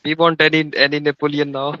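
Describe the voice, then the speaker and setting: A man's voice: on the high side, flat delivery, fast, bright, forceful tone, dry recording, muffled recording. A man from Madhya Pradesh in India, a book club participant, telling stories eagerly with plenty of fillers. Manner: hesitant, enthusiastic storytelling with many fillers. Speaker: reading group participant. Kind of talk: casual group discussion.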